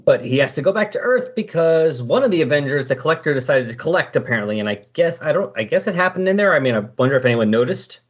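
A man with a husky voice chatting casually on a podcast, explaining and recapping in a rambling way. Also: fairly high pitch, animated, quick pace, hardly any pauses. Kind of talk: casual comic book discussion. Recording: dull lo-fi voice recording.

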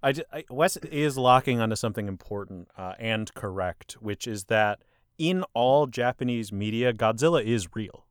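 A man's voice: mid-range voice, expressive, normal speed, smooth, no echo. Dry-sounding man hosting a quiz. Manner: jokey, laughs often, quiz-master phrasing. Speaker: podcast host running a movie quiz. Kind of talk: casual comedic chat.

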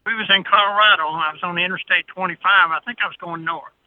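A man's voice: sits high, fairly even intonation, quick pace, no room sound. Tinny phone-line older man, folksy. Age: 60s. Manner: plainspoken, folksy. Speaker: guest, veteran truck driver. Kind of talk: casual anecdotal storytelling.

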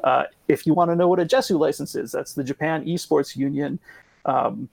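Gravelly man explaining in a dry close recording. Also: on the high side, fairly quick, a little mellow, dry recording. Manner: explains at length with frequent ums and self-corrections. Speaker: guest expert. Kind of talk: casual podcast explanation.

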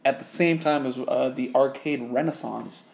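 A man's voice: medium pitch, normal speed, vocal fry. Rambling, childlike-voiced man in an echoey room. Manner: rambling, self-interrupting, animated storytelling. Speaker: podcast co-host. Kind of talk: casual chat.

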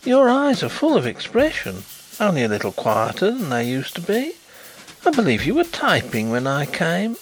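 Man voicing an excitable, higher-pitched flustered gentleman. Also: normal speed, faint music behind, poor audio. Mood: sympathetic, surprised. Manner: effusive, easily flustered, stiff. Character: Carlyle, excitable, sympathetic gentleman, proud private inquiry agent.

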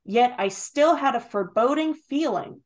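Playful woman reading a listener email on a podcast, slightly high voice. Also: lively intonation, moderate pace. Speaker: podcast co-host. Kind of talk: casual group chat, reading a listener email.

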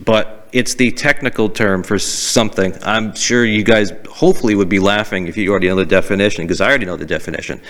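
Animated young Boston-area guy, crude banter. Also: sits low. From New England, outside Boston. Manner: crude, animated, laughs easily. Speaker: podcast co-host. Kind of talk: casual banter.

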